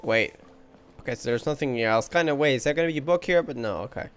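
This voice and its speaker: A man's voice: medium pitch, fast talker, clear, resonant tone, soft background music. A man playing through a video game, casually thinking aloud as he comments on the story.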